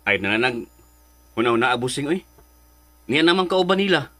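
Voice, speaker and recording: An actor playing a folksy, grumbling but sympathetic sidekick in a radio drama, full of exclamations. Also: male voice, mid-range voice, lively intonation, normal speed, sharp tone. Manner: exclamatory, colloquial.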